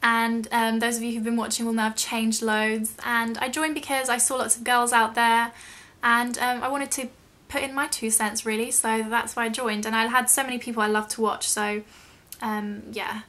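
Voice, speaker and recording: Bright-voiced young British woman, chatty. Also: a little high, level tone, moderate pace, hardly any pauses. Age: young adult. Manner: chatty, self-deprecating, rambling. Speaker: YouTube vlogger. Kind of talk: casual chatty question-and-answer vlog.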